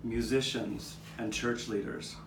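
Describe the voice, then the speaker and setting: A man's voice: mid-range voice, fairly even intonation, takes it slowly, vocal fry. Distant, echoey old storyteller cat, played by a man. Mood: reflective. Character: old male street cat, reminiscing storyteller with nine lives. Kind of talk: scripted puppet show narration. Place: outdoor courtyard. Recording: distant, echoey live taping with background noise.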